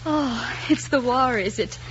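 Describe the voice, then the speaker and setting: A woman's voice: sits high, measured pace, a bit bright. An actress playing a spirited, outspoken young Irish woman in love, with an Irish accent, in an old-fashioned film romance. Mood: coy, teasing. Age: young adult. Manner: coy, playful, outspoken. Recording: dull, noisy old film soundtrack.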